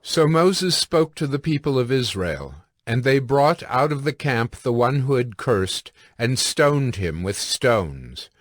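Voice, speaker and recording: A man narrating scripture as a solemn, deliberate storyteller in a scripted production. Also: mid-range voice, very animated, dry recording, studio quality.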